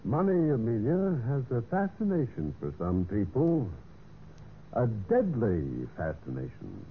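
An actor playing a vain, scheming general manager in a golden-age radio drama, with a smug, boastful, smooth way of talking. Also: male voice, mid-range voice, wildly swooping pitch, a little slow, phone-quality sound, background noise. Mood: ominous. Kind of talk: 1940s-50s radio drama acting, narration and dialogue. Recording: band-limited vintage radio, music behind.

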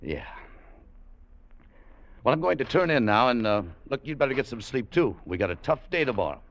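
A man's voice: medium pitch, lively intonation, normal speed, a little hiss or hum, lightly acted. An actor playing a tough, wary American adventurer who tells his tale in a clipped, colloquial way in an old radio drama. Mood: gruff, weary. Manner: clipped, hard-boiled, colloquial. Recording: muffled old radio broadcast, music behind.

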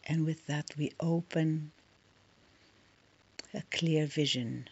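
Woman, meditation guide, soft and soothing, gravelly fry, unhurried short phrases, slightly muffled recording. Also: low-pitched, steady tone.

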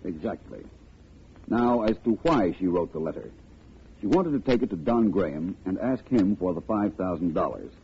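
Suave, low-voiced radio detective. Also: male voice, sing-song, normal speed, gravelly, heavily acted. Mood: assured. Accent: American. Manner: urbane, precise, explanatory. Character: suave, confident male private detective, unruffled and wry. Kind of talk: 1940s radio detective acting. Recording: tinny, faintly noisy old radio recording.

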